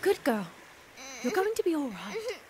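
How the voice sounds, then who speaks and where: A woman plays a brisk, stubborn but caring young castaway woman. Her high voice swings animatedly and takes command, as heard in an audio drama. Faint clicks and noise are behind her. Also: sing-song, heavily acted. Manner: urgent, commanding.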